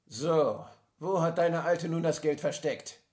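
A man's voice: a little high, takes it slowly, a little mellow, slight background noise. A man playing a menacing, sneering kidnapper in an audio drama.

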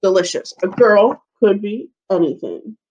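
A woman's voice: mid-range voice, animated, measured pace, mellow, husky, lo-fi sound. Woman reading a picture book aloud. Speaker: elementary school teacher reading aloud. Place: living room at home, seated on a couch. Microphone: webcam or laptop mic at about arm's length.

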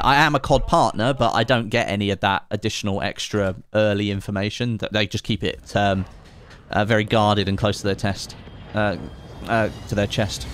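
A man's voice: fairly low pitch, clear, resonant tone. A man, chatting casually on a live game stream, reading chat aloud and making sarcastic asides. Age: late 20s.

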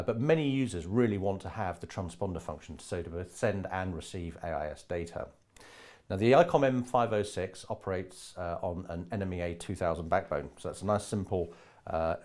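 Low-pitched middle-aged man presenting a product. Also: darkish tone. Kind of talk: product demonstration, semi-scripted explainer. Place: indoor display booth with printed backdrop.

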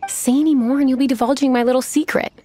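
A woman playing a composed, shrewd innkeeper in a video game, knowing, teasing and unhurried. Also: sits high, steady tone, warm tone, a little hiss or hum, natural voice. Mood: composed instructing. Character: shrewd, composed innkeeper boss lady, coolly amused.

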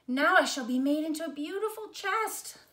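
Woman voicing an earnest, high-voiced little tree. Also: expressive, normal speed, bright, forceful tone. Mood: excited, proud. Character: hopeful, ambitious little trees, young and earnest. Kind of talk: storybook character reading.

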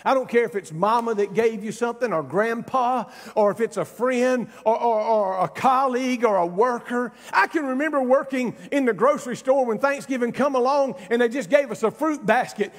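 An older man preaches in a strikingly high-pitched voice. His delivery is emphatic and exhorting, with folksy conversational asides. The sound carries the long reverb of a big hall. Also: moderate pace, few pauses. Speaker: pastor. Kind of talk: sermon. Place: large church stage, indoors.

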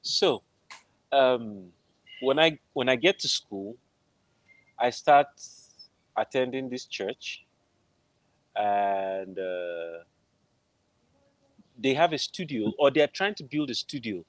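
A man's voice: mid-range voice, animated, slow, long pauses, dry recording, low-quality recording. A middle-aged man with a Nigerian accent, telling a personal story conversationally as an interview guest on a video call. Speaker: interview guest, film composer. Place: indoor room at home, video call.

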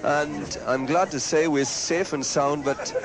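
A man's voice: a little high, fairly even intonation, a little slow, low-quality recording. A man giving live cricket commentary on the radio from a commentary box. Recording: commentary box, loud crowd. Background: loud crowd.

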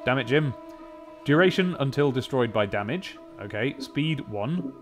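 A man's voice: mid-range voice, animated, a little slow. A man in his thirties giving casual, rambling, playfully whimsical gaming commentary and reading game text aloud. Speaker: gaming video host. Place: small home office with desks and monitors. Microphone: boom-arm microphone with pop filter. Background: game music.

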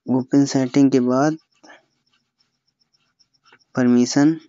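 A young man with an Indian accent walks through a phone app step by step. His voice is mellow and smooth, with little rise and fall. The recording is dry and slightly muffled. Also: mid-range voice, fairly even intonation, very slow, no echo. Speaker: tutorial host.

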